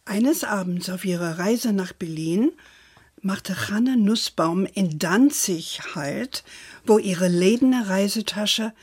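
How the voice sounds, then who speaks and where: Slow-speaking storytelling grandmother. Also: medium pitch, a little mellow, breathy edge, dry recording. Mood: measured, wistful. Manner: measured, storybook narration. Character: gentle playful old grandmother telling family history at bedtime. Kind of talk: audio drama storytelling. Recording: music under the voice.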